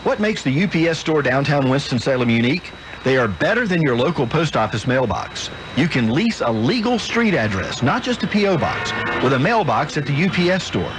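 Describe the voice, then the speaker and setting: A man's voice: medium pitch, noisy background. A man reading a scripted local radio commercial as an announcer, heard on an AM radio aircheck. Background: music bed.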